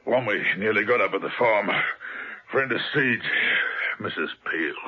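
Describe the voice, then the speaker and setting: An actor playing a rough, blunt male henchman in a 1970s radio drama, speaking curtly. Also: lowish voice, animated, a little slow. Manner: blunt, curt. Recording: lo-fi old radio recording.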